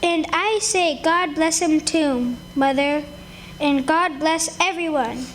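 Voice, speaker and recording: A woman's voice: high-pitched, slow, slight background noise. A woman playing a sweet, pious, frail little boy in a live radio play, innocent and earnest.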